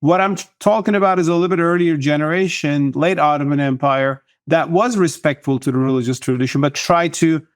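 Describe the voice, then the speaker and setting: A man's voice: on the high side, a little fast, few pauses, no echo. Man from Turkey, middle-aged, interview guest, thoughtful, dry close mic. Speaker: guest author and journalist. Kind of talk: conversational interview answers.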